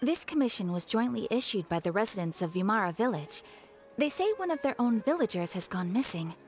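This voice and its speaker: A woman playing a polite, composed female guild receptionist who speaks in a measured, formal way. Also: medium pitch, lively intonation, lightly acted. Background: game music.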